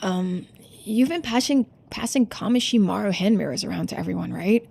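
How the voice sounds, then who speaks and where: A woman voicing an earnest, subdued young diver in a video game, speaking seriously and with quiet determination. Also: medium pitch, expressive, moderate pace, gravelly, husky. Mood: awkward, hesitant.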